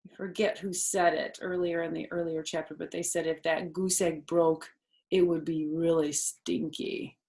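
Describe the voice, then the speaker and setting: A woman's voice: low voice, level tone, moderate pace, a little mellow. A woman storyteller reading a children's book aloud expressively, with chatty asides to young listeners.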